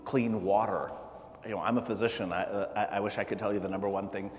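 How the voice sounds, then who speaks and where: A man with a fairly low voice talks to a gathered group, stopping often with fillers and restarts. His words ring in an echoey hall with a long tail of reverb. A faint hiss of noise sits under the recording. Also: steady tone. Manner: halting, many fillers and restarts. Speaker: political candidate. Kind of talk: town hall talk.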